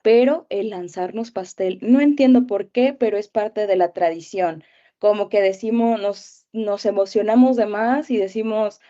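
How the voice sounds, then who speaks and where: A young Mexican woman teacher answering interview questions in a casual storytelling way, with many pauses and fillers. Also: mid-range voice, dark tone, dull audio.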